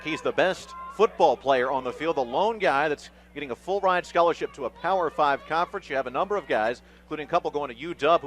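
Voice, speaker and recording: Bright, high-pitched man calling football live. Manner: clipped phrases, emphatic calls on big plays. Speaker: play-by-play announcer. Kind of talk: live radio football play-by-play.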